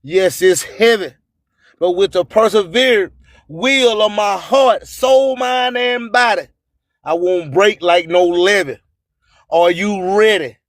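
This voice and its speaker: A man's voice: sits high, takes it slowly, no echo, clean studio sound. A man hosting radio with a rhyming spoken-word monologue, proclaiming emphatically and boastfully and drawing out his phrases. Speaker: radio host and music promoter.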